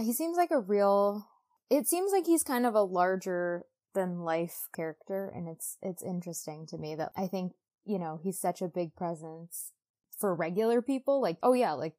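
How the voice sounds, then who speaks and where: A woman's voice: fairly low pitch, normal speed, dark tone. A woman co-hosting a casual book podcast, talking in a chatty, gushing way full of conversational asides. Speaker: podcast co-host.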